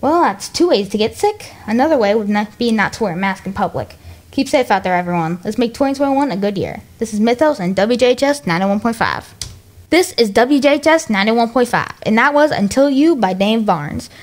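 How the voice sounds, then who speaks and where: A woman's voice: fairly high pitch, expressive, a little fast. An American woman presenting on the radio as host, reading from a script. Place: radio studio.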